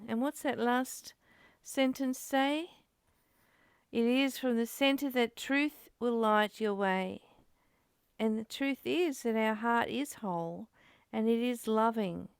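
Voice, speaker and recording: Woman, spiritual study host, creaky higher voice, earnest, reflective questions, close dry sound. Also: dry recording. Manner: earnest, uses rhetorical questions. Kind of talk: informal spiritual teaching and discussion.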